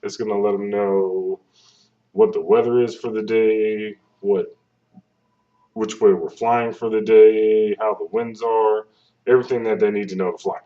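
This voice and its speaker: A man's voice: fairly low pitch, steady tone, measured pace. A man giving an informative explainer talk in a plain-spoken, conversational way, step by step, recorded on a webcam microphone. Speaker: air traffic control presenter. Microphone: webcam microphone at some distance. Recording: distant, dull webcam microphone sound.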